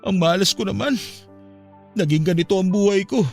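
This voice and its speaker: A man's voice: high voice, brisk, soft background music. An actor playing a grief-stricken, guilt-ridden young Filipino man in a radio drama, anguished and despairing. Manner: anguished, despairing, self-blaming.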